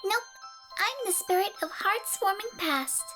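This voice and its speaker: A little unicorn spirit with a very high, small, girlish voice. The creature speaks gently and knowingly, as a character in a cartoon-pony audio story. Music plays clearly underneath. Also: expressive, unhurried. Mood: calm, knowing. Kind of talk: audio fan fiction character acting. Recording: music behind the voice.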